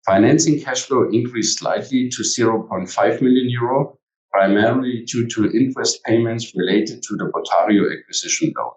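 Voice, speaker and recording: A man's voice: mid-range voice, moderate pace, darkish tone. A man formally reading a prepared company earnings presentation as an executive. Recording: echoey room, distant from mic.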